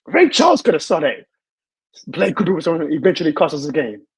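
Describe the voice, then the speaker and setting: A hoarse man who has lost his voice, hosting a live sports show and ranting with emphatic repeated lines. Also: on the high side, sing-song, fast, no echo. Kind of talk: live sports rant and chat reading.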